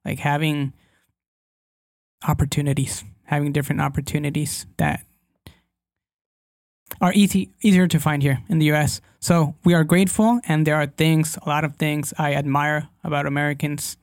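A young man with a slightly higher voice and a gravelly edge talks casually as a guest. English is not his first language, so he pauses and restarts as he looks for words. The sound is dry and close. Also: steady tone, dry recording. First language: Spanish. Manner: hesitant, searching for words, frequent restarts. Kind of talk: casual interview chat.

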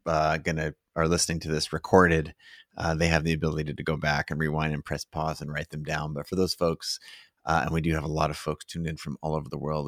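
A man with a very deep voice hosts a live interview. His intonation stays flat, and he phrases questions haltingly and deliberately. The recording is dry, with almost no room sound. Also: no echo. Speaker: interview host. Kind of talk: hosting a live online interview with audience questions.

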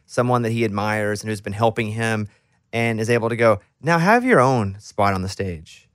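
A man's voice: fairly low pitch, expressive, normal speed, fairly bright tone, no room sound, clean studio sound. A man, hosting a casual interview in a chatty, informal way. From Arkansas, USA. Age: 30s to 40s. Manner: chatty, informal, talks at length before questions. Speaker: interview host.

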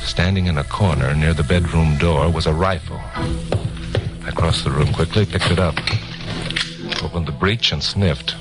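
An actor playing a self-assured news commentator and amateur sleuth in a 1950s radio drama. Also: male voice, very deep voice, fairly even intonation, normal speed, pauses often. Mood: tense narrating. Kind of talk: 1950s radio drama acting and first-person narration. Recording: 1950s radio recording with music.

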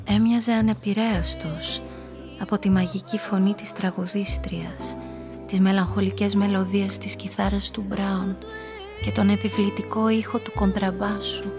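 A female actor plays an observant woman telling her own story in a radio play. Her delivery is measured and atmospheric, with even, restrained intonation over clear background music. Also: mid-range voice, natural voice. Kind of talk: scripted first-person literary narration.

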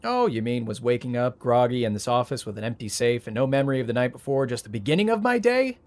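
A man playing a frazzled, sarcastic young heir in an audio drama, with a wry, flustered, halting delivery. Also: mid-range voice, sing-song, close to an everyday voice. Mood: sarcastic, stressed. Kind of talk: audio drama acting, naturalistic.

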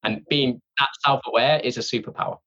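A man's voice: mid-range voice, fairly quick, vocal fry, pushed and bright, muffled recording. A man speaking informally as an interview guest, candid and self-deprecating in a casual conversation. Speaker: podcast guest, sales training company representative.

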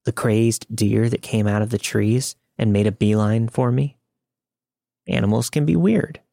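A man's voice: lowish voice, unhurried, gravelly, warm tone, no echo, clean studio sound. A man reading a scripted first-person scary story as a podcast narrator. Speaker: podcast host reading listener stories.

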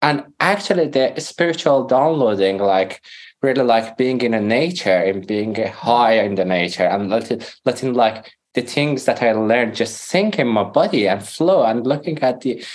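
A man with a Persian accent, a native Farsi speaker, talking as a podcast guest in earnest, halting English. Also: medium pitch, animated. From Iran. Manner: earnest, halting, searching for words. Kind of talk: casual interview talk.

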